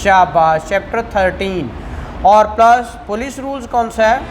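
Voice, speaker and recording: A man's voice: sits high, lively intonation, a little slow, a little hiss or hum, poor audio. A man with a Pakistani Punjabi accent giving a didactic classroom law lecture, repeating points and questioning students. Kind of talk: classroom lecture, code-switching into English terms.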